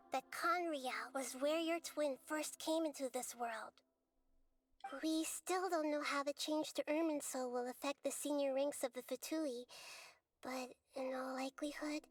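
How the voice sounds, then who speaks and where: A woman voicing a wise, gentle, childlike young deity in an anime game, speaking in a measured, earnest way. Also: sits high. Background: music.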